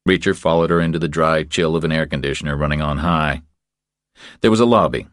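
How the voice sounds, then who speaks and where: Male narrator, very low voice, crime audiobook reading, close mic, dry sound. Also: quick pace, no room sound. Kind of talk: scripted crime fiction narration.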